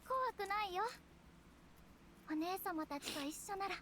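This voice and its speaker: A woman playing a gentle young moon goddess and tender little sister in a Japanese video game, soft and breathy. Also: very high voice, animated, fairly quick, slight background noise. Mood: tender farewell.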